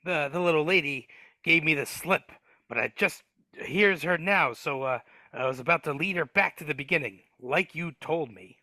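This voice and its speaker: A man voicing a nervous, cowardly dwarf servant who stammers and speaks in rough grammar, in a live reading over a video call. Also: on the high side, moderate pace, pushed and bright, no room sound.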